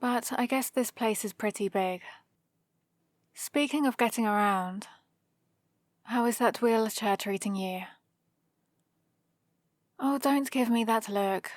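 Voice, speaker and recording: A woman's voice: fairly high pitch, takes it slowly, long pauses, no room sound. A woman with a British accent playing a sardonic supervillain who grudgingly cares for an injured rival, in a dry, teasing ASMR roleplay. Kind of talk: ASMR roleplay, close and unhurried.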